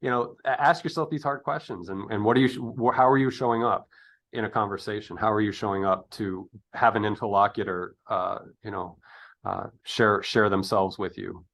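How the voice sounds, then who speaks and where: A middle-aged man with a lowish voice talks through his thoughts in a conversational interview. He is earnest and a little hesitant, often searching for the right word. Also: fairly even intonation, a little fast, fairly bright tone. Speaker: interview host. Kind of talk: reflective conversational discussion.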